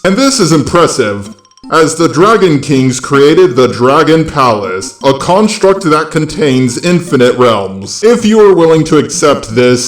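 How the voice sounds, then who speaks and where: A man's voice: mid-range voice, reverberant. A man hosting a video, reading scripted explainer narration. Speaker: video host.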